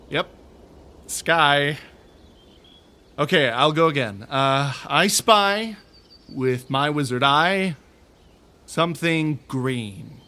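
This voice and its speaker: A man playing a goofy, soft-hearted young wizard hero with a casual, halting, wry manner in a comedic fantasy audio drama. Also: fairly high pitch, full character voice.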